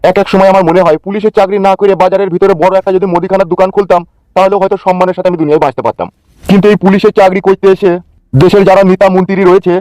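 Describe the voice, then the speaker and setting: A man's voice: fairly high pitch, darkish tone, no echo, lightly acted, poor audio. A man playing a stern, weary, crude-tongued senior police officer in a comic cartoon, lecturing bluntly. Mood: weary, resigned.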